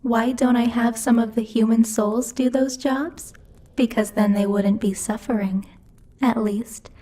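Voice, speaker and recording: A woman's voice: on the high side, warm tone. A woman playing a bossy, haughty demon lord who teases and mocks imperiously in a theatrical ASMR roleplay. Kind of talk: ASMR roleplay, scripted character acting.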